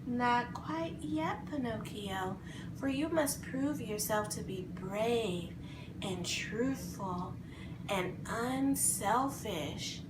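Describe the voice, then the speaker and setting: Woman as a soft, slow, kindly fairy. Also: fairly high pitch, expressive, recorded from a distance, voice pushed down. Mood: gentle, solemn. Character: kindly, gentle, wise female blue fairy. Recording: roomy echo, faint background noise.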